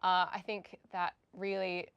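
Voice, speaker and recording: A woman's voice: lowish voice, level tone, measured pace, gravelly, smooth, dry recording, highs cut off. An Australian woman giving a casual game review as a guest on a show, talking in slangy, emphatic phrases.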